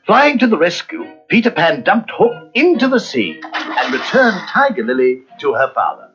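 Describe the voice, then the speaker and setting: A man narrating a scripted children's storybook in a dramatic, expressive way. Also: sits high, normal speed. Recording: muffled cassette with music behind.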